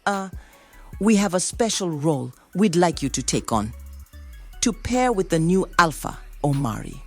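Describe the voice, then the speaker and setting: A woman performs a chief's solemn, motherly wife in a fantasy audio drama. Her delivery is slow and very expressive, rising and falling with earnest feeling over soft music. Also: on the low side, full character voice. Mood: earnest, pained.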